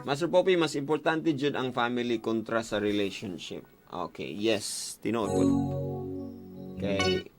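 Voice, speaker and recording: A man's voice: lowish voice, a little slow. A man with a Bisaya Filipino accent hosts a casual call-in advice show, slipping English phrases into his talk. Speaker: radio show host. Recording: loud music bed behind voice. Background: music.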